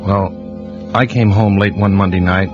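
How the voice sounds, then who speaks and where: A worried insurance investigator tells his story in a low, uneasy voice, stumbling and laughing nervously. The vintage radio recording is dull and lo-fi, with music clearly audible behind him. It has the feel of a 1940s radio thriller. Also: steady tone, a little slow. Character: anxious male insurance investigator and husband. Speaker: narrator and lead character. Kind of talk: 1940s radio drama acting.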